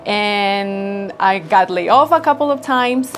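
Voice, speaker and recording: Woman founder, 30s, from Ecuador, warm grateful interview talk, music under her. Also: medium pitch, expressive, slow. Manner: earnest, grateful storytelling. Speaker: business founder. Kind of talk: interview answers. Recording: background music bed.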